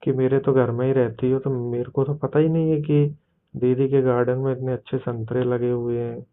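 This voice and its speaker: Male actor for bashful flirting younger brother, audio roleplay; halting, mid-pitched, flat delivery over a dull phone line. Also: natural voice. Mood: hesitant, recounting. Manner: hesitant, halting, padded with verbal tics. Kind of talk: improvised audio roleplay of a private phone call. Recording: muffled, narrow-band phone call audio.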